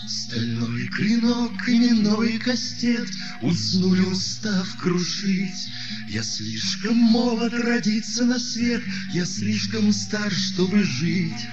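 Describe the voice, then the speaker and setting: Over prominent plucked guitar, a man sings a bard song in a high voice. The phrasing is slow and drawn out. The recording is somewhat dull and lo-fi. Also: sing-song. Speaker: singer-songwriter. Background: plucked acoustic guitar.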